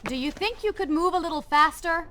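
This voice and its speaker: A woman's voice: extremely high pitch, expressive, fairly quick, a bit bright. An actress playing a bold, practical young woman adventurer, wry and impatient, in a dramatic video game. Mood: curious, brisk.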